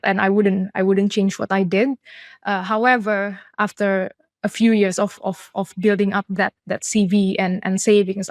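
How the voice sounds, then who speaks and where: A woman's voice: medium pitch, no echo. A woman from Indonesia in her thirties, a product leader answering interview questions candidly and reflectively, with frequent fillers and restarts. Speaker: guest, chief product officer.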